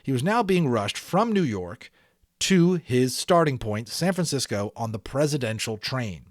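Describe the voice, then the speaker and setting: A man's voice: mid-range voice, very animated, a little slow. A man narrating a children's audiobook expressively, with dramatic emphasis and chatty asides to listeners.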